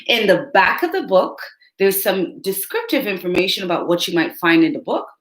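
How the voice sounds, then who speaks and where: A woman's voice: mid-range voice, expressive, normal speed. A woman reading a picture book aloud to young children, turning to ask her listeners questions along the way. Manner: speaks directly to young listeners, asks them questions. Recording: roomy echo.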